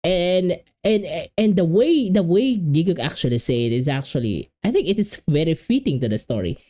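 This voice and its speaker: A man's voice: fairly high pitch, very animated, normal speed, mellow. A man from the Philippines with a Filipino accent, whose first language is Filipino, reacting casually on video, rambling and often repeating and restarting words.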